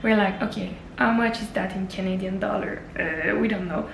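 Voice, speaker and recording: A woman's voice: mid-range voice, normal speed, creaky, reverberant, slight background noise, poor audio. A young woman whose first language is French, chatting earnestly in a personal travel vlog, often restarting her sentences. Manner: earnest, many restarts and fillers. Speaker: travel vlogger. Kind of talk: casual personal vlog talk.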